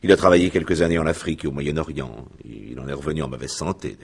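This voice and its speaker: An actor playing a haughty company director under questioning in a French radio crime drama, formal and disdainful with measured hesitations. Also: male voice, a bass voice, vocal fry, breathy edge, heavily acted. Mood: disdainful, guarded.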